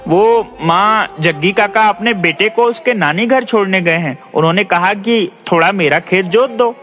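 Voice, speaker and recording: A man's voice: high-pitched, moderate pace, a bit bright. A man playing an honest, kind young village farmer in a cartoon, speaking earnestly and politely. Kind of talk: animated Hindi moral-story cartoon acting. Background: faint music.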